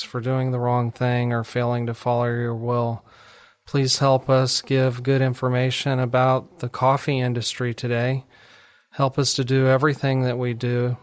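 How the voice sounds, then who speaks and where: A Texan man hosting a radio talk show. He speaks in a steady, measured way with a very flat melody. His voice is smooth and resonant. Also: mid-range voice. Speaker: attorney and radio host.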